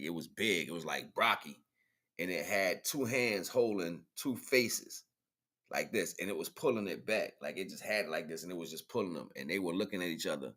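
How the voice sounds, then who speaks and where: A man's voice: low-pitched, a bit bright. A man hosting a livestream in casual, slangy talk, often checking in with the listener using tag questions. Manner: informal slangy speech with frequent tag questions. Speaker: livestream host.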